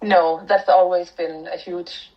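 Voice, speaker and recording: A middle-aged woman with a Danish accent talks about her work in a reflective way, often pausing on fillers and restarting her sentences. Her voice sits fairly low. The recording is a little dull and lo-fi. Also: steady tone. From Denmark. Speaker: author and anthropologist. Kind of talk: thoughtful interview answers.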